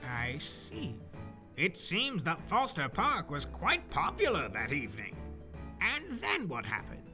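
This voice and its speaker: A man's voice: a little high, huge pitch swings, unhurried, music in the background. A man playing a mild, somewhat befuddled elderly male judge in an audio drama. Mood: curious.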